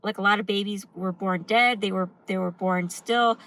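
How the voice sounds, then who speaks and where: A woman's voice: medium pitch, steady tone, moderate pace, a bit bright. A woman talking earnestly and emphatically in a casual vlog monologue, speaking off the cuff. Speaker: video host.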